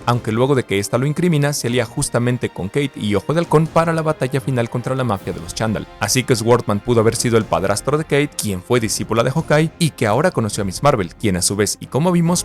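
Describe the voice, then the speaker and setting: A man narrating a scripted commentary video in a brisk, explanatory tone, raising teasing questions as he goes. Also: medium pitch. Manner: brisk, explanatory, lightly conspiratorial. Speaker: YouTube pop-culture commentator.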